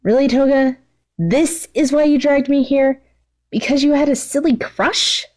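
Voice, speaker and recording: A woman's voice: sits high, measured pace, darkish tone, pitched above the performer's own voice. A woman voicing an irritable, cocky, sarcastic young female supervillain in an exasperated, dismissive manner for a fan story.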